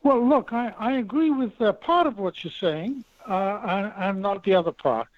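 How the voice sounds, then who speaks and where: A man, a professor, making his case in a talk radio discussion with measured, emphatic, repeating argument. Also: high voice, dry recording, phone-quality sound. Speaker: professor guest.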